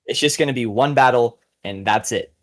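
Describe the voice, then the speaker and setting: Man, podcast host, medium pitch, casual commentary, informal, enthusiastic. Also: brisk.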